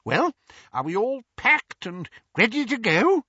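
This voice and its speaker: An effusive, fussy old wizard, as a male narrator puts him on, his voice climbing high and swooping through every phrase. He gushes with flattery. The recording is dry and a little dull. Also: a little slow, slightly rough, no echo, heavily acted. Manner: effusive, fawning, high-pitched.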